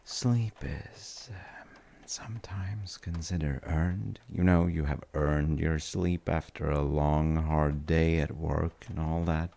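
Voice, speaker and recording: Very deep, slow, gravelly dad played by a man. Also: steady tone, heavily acted. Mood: soothing, musing. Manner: unhurried, musing, halting with soft trailing phrases. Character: gentle, musing father comforting his tired grown child. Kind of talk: soft, rambling sleep-aid audio roleplay.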